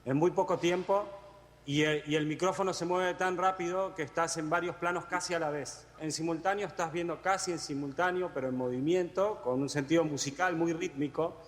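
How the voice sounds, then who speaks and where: A man giving a spoken analysis at a conference, explaining and reiterating his points. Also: a little high. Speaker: conference participant. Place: large indoor congress hall. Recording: reverberant hall.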